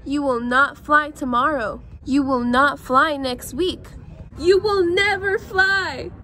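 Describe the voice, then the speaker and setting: A doubtful storybook elephant who spells everything out slowly and firmly, as a young woman reads the part. The voice stays high. There is faint outdoor noise behind it. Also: sharp tone, heavily acted. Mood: deliberate. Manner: slow, deliberate, stern emphasis.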